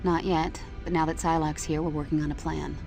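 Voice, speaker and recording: An actress playing a serious, composed superhero in a video game, sober and measured. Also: female voice, level tone, a little mellow. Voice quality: deep, creaky. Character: serious, composed adult woman superhero telepath. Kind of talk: video game cutscene acting. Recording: music clearly behind the voice.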